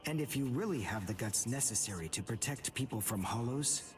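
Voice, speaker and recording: An actor playing a cold, arrogant teenage boy rival in an English anime game dub, speaking in a deliberate, condescending way. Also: mid-range voice, expressive, recorded from a distance, faint music behind, natural voice. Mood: cool menace.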